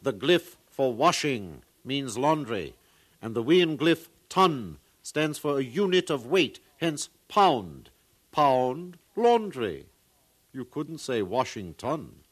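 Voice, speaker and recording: A man's voice: medium pitch, big pitch swings, slow pace. A man acting a self-satisfied, pompous academic in a 1950s radio drama, speaking pedantically. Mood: smug. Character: self-satisfied male academic defending his absurd theory.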